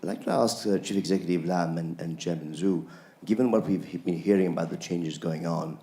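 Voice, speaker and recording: A man's voice: low voice, fairly quick, dark tone. A man in the audience asking a polite, formal question. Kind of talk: question from the floor.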